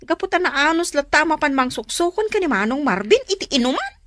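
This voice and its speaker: A woman's voice: sits high, very animated, fast talker, a bit bright, no room sound. A woman playing a young, concerned and outspoken younger sister in a radio drama. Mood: exasperated.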